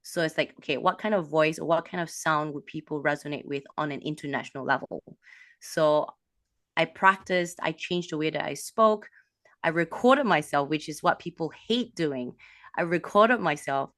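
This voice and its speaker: A woman from a Malaysian background, a business coach, talking in an animated way with quick bursts and restarts. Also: sits low, no echo, studio quality. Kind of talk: casual interview talk.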